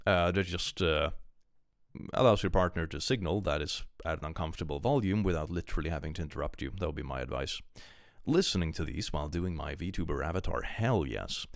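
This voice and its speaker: A young man streaming live with a powerful voice, reading posts aloud and chatting casually, often jumping into excited exclamations. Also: fairly quick, creaky, no room sound, clean studio sound. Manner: animated, jumps into high excited exclamations.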